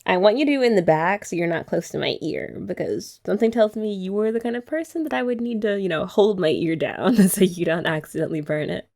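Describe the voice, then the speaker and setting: A woman's voice: mid-range voice, animated, brisk, creaky, hardly any pauses, darkish tone. A young woman hosting an ASMR girlfriend roleplay, chatty and giggly as she rambles. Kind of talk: soft-spoken ASMR roleplay chat.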